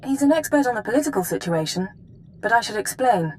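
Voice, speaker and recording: An actress playing a businesslike intelligence handler in a video game, giving briefings in a crisp, matter-of-fact way. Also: female voice, fairly high pitch, lively intonation, fast talker, slight background noise, lightly acted, low-quality recording. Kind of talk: scripted video game acting.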